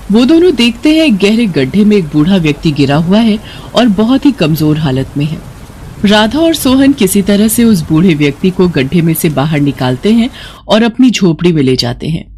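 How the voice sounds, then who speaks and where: A woman's voice: on the low side, a little mellow, a little hiss or hum. A woman reading scripted narration for a cartoon bedtime story as the storyteller.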